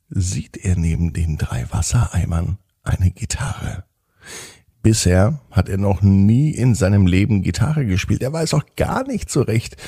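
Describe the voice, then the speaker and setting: A man with a husky, gravelly voice gently narrating a children's bedtime story in a soothing manner. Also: fairly low pitch, no room sound, clean studio sound.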